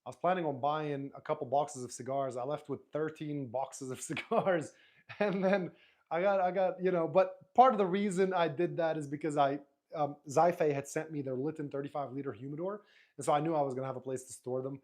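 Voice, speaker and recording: A man whose first language is Arabic, hosting a live stream in a relaxed, rambling way, reading viewer comments aloud and answering them. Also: a little high, a little fast. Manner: relaxed, rambling, frequent restarts and fillers.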